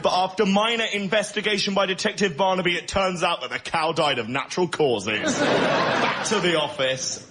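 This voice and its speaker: A man pitching a comic idea as a panellist in an understated, amused, matter-of-fact way. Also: moderate pace, pushed and bright. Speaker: comedy panellist. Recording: clearly noisy radio studio recording.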